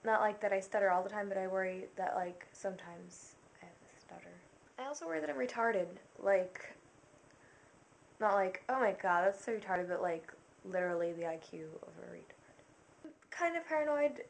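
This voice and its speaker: A young woman talks through a casual list, rambling and self-conscious, with plenty of fillers and sudden hushed asides. Her voice sits at an ordinary middle pitch. A faint hiss of background noise sits under the recording. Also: normal speed, creaky. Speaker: vlogger. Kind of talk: casual to-camera list monologue.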